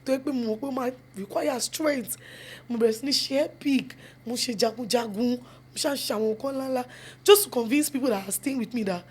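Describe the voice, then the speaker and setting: A woman's voice: on the high side, a little fast, slightly rough. Yoruba-accented woman telling her story. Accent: Nigerian, Yoruba. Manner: switches between English and Yoruba mid-sentence; earnest, repeats phrases for emphasis. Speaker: guest, film producer and actress. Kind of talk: storytelling interview answers.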